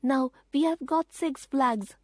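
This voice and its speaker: A woman's voice: high voice, takes it slowly, a little mellow, dry recording, clean studio sound, pitched above the performer's own voice. A woman doing an urgent, decisive young boy. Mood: businesslike. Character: brave, businesslike young boy.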